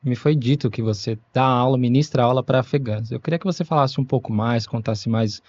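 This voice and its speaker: A man's voice: medium pitch, fairly quick, a little mellow, dry recording, dull audio. A Brazilian man co-hosting an interview, asking questions with hesitant phrasing and frequent fillers. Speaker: podcast co-host. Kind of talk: interviewing a guest.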